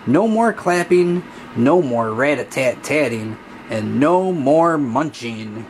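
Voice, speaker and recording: Male storybook narrator, unhurried, very lively intonation, singsong delivery, faint room noise. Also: medium pitch. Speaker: children's story reader. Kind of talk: scripted children's read-aloud narration.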